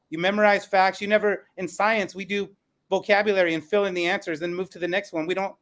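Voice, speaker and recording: A man's voice: high voice, a bit bright. A man moderating a podcast panel, talking earnestly and conversationally as a parent about learning.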